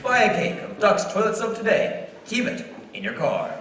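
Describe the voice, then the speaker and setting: Vintage commercial announcer, male actor: upbeat, emphatic salesman, higher male voice. Distant, reverberant theater pickup. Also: sing-song, normal speed. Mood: upbeat, selling. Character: upbeat vintage radio announcer selling soap. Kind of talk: 1940s radio commercial announcing. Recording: echoey theater, distant mic, loud music.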